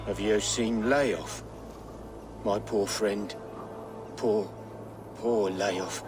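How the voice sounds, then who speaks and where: An actor playing a gentle, slow-witted gravedigger who mourns his friend, talking in halting, childlike repetitions. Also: on the low side, fairly even intonation, music in the background. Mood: childlike sorrow. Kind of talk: dramatic video game acting.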